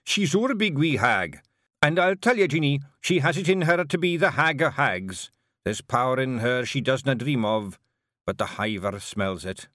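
A man reading aloud and voicing a tough little male fairy chief in a Scottish accent, slow and heavy-hearted. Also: medium pitch, big pitch swings, fairly bright tone, no echo, studio quality.

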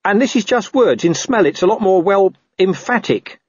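A man's voice: high-pitched, expressive, moderate pace, breathy edge, no echo, muffled recording, pitched above the performer's own voice. A man voicing a scruffy, streetwise talking dog for an audiobook, with colloquial, hesitant, wheedling speech. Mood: awkward, hedging. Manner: colloquial, hesitant, working-class phrasing. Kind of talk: audiobook character voice.

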